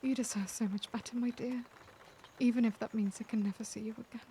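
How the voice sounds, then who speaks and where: A woman playing a caring, remorseful vampire lady in an ASMR roleplay, speaking gently and formally in a soft, breathy voice that slips into whispers. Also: a little high, fairly even intonation, a little fast, slight background noise, natural voice. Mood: regretful, resigned. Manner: formal, old-fashioned, gentle address. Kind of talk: ASMR roleplay, scripted dramatic monologue.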